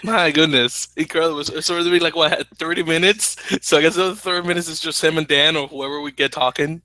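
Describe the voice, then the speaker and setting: Bright, dry-voiced Dominican man explaining. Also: on the high side, fairly even intonation, brisk, dry recording. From Dominican Republic. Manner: explanatory, opinionated, restarts phrases. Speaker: podcast co-host. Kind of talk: casual chat. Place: small indoor room at home. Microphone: headset.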